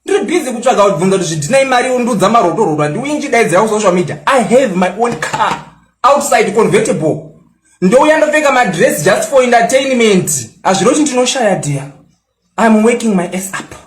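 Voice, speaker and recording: A young Zimbabwean man with a very high voice, taunting and boasting as he fires back at a critic. He switches into English often. The sound rings around an echoey room. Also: animated, fast. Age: 20s. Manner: mixes English into local-language talk. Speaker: social media personality. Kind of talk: angry response rant.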